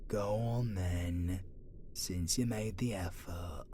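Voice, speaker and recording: Low, hushed British demon captive, acted out. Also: slight background noise. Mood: grudgingly appreciative. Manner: hushed, breathy, colloquial, drawn-out delivery. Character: captive demon, defiant, gruff but tender rogue. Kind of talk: ASMR roleplay acting.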